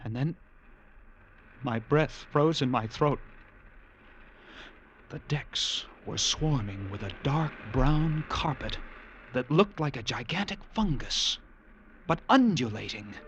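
An actor playing a haunted lighthouse keeper who narrates his tale of terror in intense classic radio drama style, with halting, suspenseful pauses. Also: mid-range voice, slight background noise. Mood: grim dread. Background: loud dramatic music.

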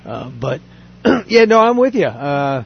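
A man's voice: a little high, sing-song, slow pace, creaky, husky. A man hosting a casual talk podcast, chatting loosely and reading news items aloud. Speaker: podcast host. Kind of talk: casual chat. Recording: dull lo-fi audio.

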